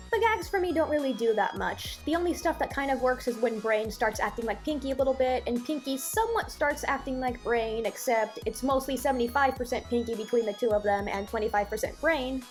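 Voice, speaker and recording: A woman giving conversational, opinionated commentary as a video reviewer, openly exasperated as she reacts to what she watches. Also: on the high side. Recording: voice-over with background music. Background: music.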